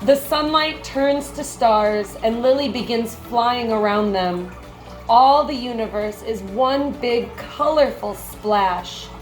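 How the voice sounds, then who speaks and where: Slow-paced woman reading a picture book aloud. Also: a little high, faint music behind, poor audio. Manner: measured, expressive storybook phrasing. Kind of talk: picture book read-aloud with brief comments.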